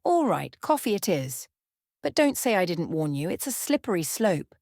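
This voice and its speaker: A woman's voice: fairly low pitch, very animated, normal speed, a little mellow, no room sound, studio quality. A woman voicing an easygoing, amused male hacker in a bedtime story, with a wry manner. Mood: amused, surprised.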